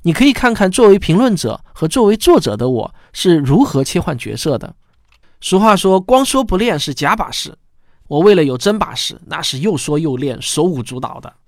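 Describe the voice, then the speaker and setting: Higher-pitched male podcast host, close and dry. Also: sing-song, moderate pace, dry recording. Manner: chatty, explanatory. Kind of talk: podcast commentary, conversational.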